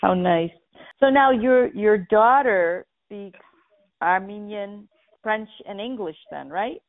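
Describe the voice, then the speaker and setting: A woman interviewing an older relative, gently prompting with questions and frequent short affirmations. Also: medium pitch, slow pace. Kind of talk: conversational interview. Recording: tinny phone-like line.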